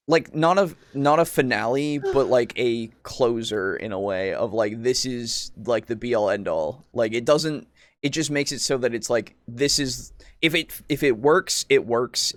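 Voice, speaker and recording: A man co-hosting a podcast in casual discussion, rambling and often halting as he searches for words. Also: mid-range voice, dry recording. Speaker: podcast co-host.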